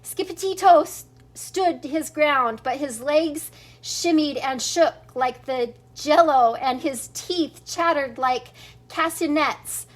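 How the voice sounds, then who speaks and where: A woman's voice: high-pitched, takes it slowly. An older woman reading a children's storybook aloud expressively, with a chuckling, slightly stumbling delivery. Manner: stumbles over words, chuckles as she reads. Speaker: storybook reader.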